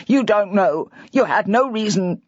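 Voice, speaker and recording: Woman doing an older husband, distraught, rambling, husky, dry, dull recording. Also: medium pitch, lively intonation, measured pace, no room sound. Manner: hysterical, rambling.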